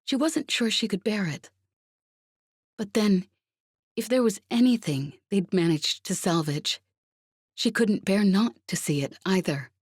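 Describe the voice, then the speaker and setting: A woman reading a dramatic story as an audiobook narrator, expressively and with emotive pauses. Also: mid-range voice, lively intonation, breathy edge, dry recording, clean studio sound. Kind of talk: dramatic scripted fiction narration.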